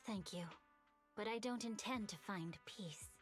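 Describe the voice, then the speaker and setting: A woman's voice: medium pitch, lightly acted. A woman playing a stoic, resolute female commander in a video game, speaking formally and with composure. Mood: grim resolve. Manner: formal, composed, deliberate wording. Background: background music.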